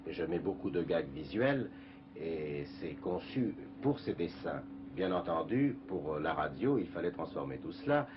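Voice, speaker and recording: A comic-book scriptwriter talks in an interview, weighing each phrase with pauses. His voice is very deep. The old broadcast tape is muffled and hissy. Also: very animated. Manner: measured, hesitant, with many pauses.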